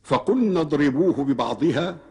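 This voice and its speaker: A man's voice: a little high, lively intonation, darkish tone. Man chanting scripture recitation. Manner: melodic, drawn-out chanting. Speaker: Quran reciter.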